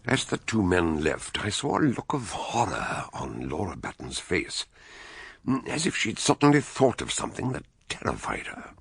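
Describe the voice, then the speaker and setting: An actor playing the grave, measured narrator of a radio detective mystery, telling it with suspense. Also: male voice, low voice, huge pitch swings, vocal fry, slightly rough, no echo, full character voice.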